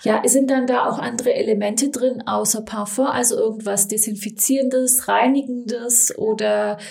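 A woman's voice: fairly high pitch, fairly even intonation, moderate pace, creaky, darkish tone. A woman hosting a talk show, asking curious follow-up questions.

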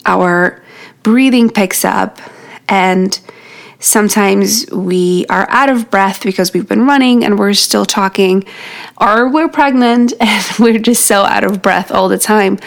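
A woman's voice: medium pitch, slow pace, vocal fry. A woman in her thirties with a New York accent, whose first language is Polish, talking through tips on her podcast. She speaks in a friendly, encouraging way, right to the listener, and is often short of breath as she goes. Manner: encouraging, talks straight to the listener. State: out of breath from pregnancy. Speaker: podcast host. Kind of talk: solo podcast teaching tips.

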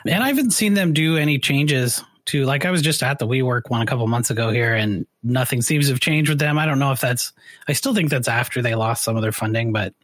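A man's voice: medium pitch, a little fast, a bit bright, no echo. A man hosting an interview, talking in an easygoing, hedging way and asking questions. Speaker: interviewer, podcast host. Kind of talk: casual interview chat.

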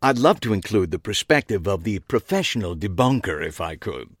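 A man's voice: on the low side, sing-song, brisk, slightly rough, dry recording, studio quality. A man voicing a tall, eccentric, disdainful British journalist in an audiobook, with an affected, pompous accent. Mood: smooth, pompous.

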